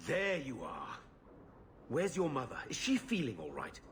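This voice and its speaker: A man's voice: mid-range voice, huge pitch swings, a little slow, fairly bright tone. A man playing an agitated, impatient middle-aged uncle in a video game, fretful and blunt. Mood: impatient worry. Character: agitated, impatient older uncle, anxious for his sister. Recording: faint background ambience noise.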